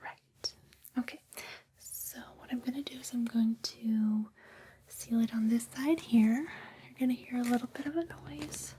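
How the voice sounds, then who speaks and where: Whispering woman, soft and mellow. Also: fairly high pitch, steady tone, unhurried, slight background noise, poor audio. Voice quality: breathy whisper. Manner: gentle, soothing, reassuring. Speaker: ASMR host playing a space suit fitting attendant. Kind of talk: ASMR personal-attention roleplay.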